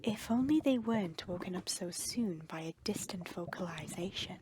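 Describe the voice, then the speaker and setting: A woman's voice: fairly low pitch, lively intonation, normal speed, heavy background noise. A woman narrator reading a scripted story in a dramatic storytelling style.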